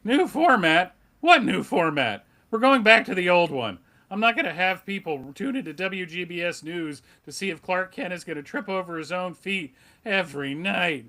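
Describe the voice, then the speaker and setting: A man's voice: high voice, expressive, normal speed, hardly any pauses, pushed and bright, full character voice. A man playing a jittery, exasperated TV news producer, nagging and sarcastic, in a comic book table read. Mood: angry.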